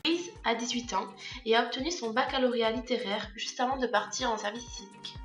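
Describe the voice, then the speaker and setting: A woman delivers scripted narration in a fairly high voice. The room adds clear echo, and light music plays behind her. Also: brisk, a bit bright. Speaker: narrator of a project presentation. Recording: roomy echo, faint music underneath.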